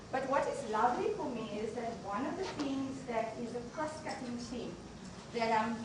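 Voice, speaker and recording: Brief: high-voiced woman, earnest unmiked address, heard from afar with hiss. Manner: earnest, restarts phrases, emphatic questions. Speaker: university deputy vice chancellor opening a colloquium. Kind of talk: unscripted opening address. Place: lecture venue. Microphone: no microphone, speaking unamplified. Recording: echoey, distant room recording with noise.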